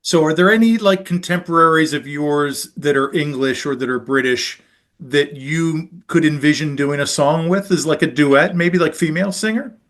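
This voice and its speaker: A man's voice: a little high, moderate pace, fairly bright tone. A man hosting a podcast, asking casual, loosely phrased questions over a video call. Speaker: podcast host. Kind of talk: interview questions.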